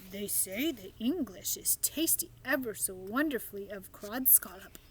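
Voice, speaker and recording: A woman's voice: medium pitch, animated, moderate pace, slight background noise, lightly acted. A woman voicing a big friendly male giant in a storybook, with garbled folksy grammar and made-up words. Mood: earnest.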